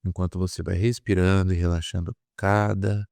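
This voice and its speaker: A man with a Brazilian Portuguese accent leading a guided meditation, soothing and repetitive, with pauses between drawn-out phrases. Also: low-pitched, flat delivery, vocal fry, a little mellow, clean tone, dry recording. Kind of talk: guided meditation, hypnotic induction.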